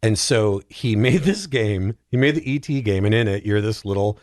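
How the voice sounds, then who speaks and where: A man's voice: on the low side, lively intonation, moderate pace, darkish tone, no echo, clean studio sound. A man speaking as a podcast guest, telling stories in a casual, comedic way with emphatic repetition.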